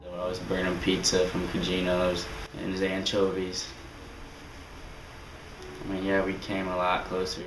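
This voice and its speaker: A young man in his twenties talks plainly and haltingly in a documentary interview. His voice is deep and almost monotone, set in a roomy space with clear music behind him. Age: 20s.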